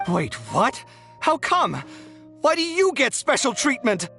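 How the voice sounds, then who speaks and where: A young man voicing an excitable, exasperated architect in a video game, with dramatic, emphatic delivery. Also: very high voice, slow pace, gravelly, exaggerated voice. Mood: indignant. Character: excitable, indignant, debt-ridden young male architect. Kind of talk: animated video game voice acting. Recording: studio voice over game music. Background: game music.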